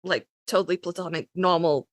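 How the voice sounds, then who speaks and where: A woman's voice: on the low side, steady tone, brisk, no room sound, clean studio sound. A woman co-hosting a podcast, chatting casually with a wry, emphatic way of talking. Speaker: podcast co-host. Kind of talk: casual chat.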